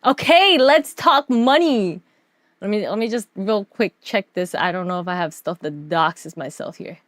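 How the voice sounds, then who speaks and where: A woman streamer telling stories casually over a slideshow, animated, giggly and slangy in her delivery. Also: fairly low pitch, moderate pace, no echo, clean studio sound. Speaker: streamer and artist.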